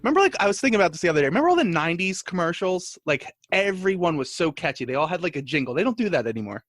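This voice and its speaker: A man's voice: high voice, big pitch swings, very fast, fairly bright tone. A man in casual podcast chat, speaking in an informal, jokey way.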